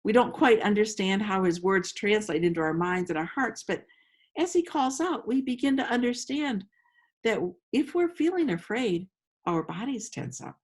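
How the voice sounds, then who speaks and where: Female meditation host, medium pitch, slow inviting delivery, gentle storytelling with generous pauses. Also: animated, husky. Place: outdoors among roses.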